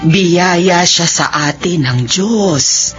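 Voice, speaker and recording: A woman's voice: on the low side, extremely slow. A woman acting a tender, emotional older mother and grandmother in a radio drama, speaking with pleading feeling. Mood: moved gratitude. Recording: dull lo-fi radio drama, faint music.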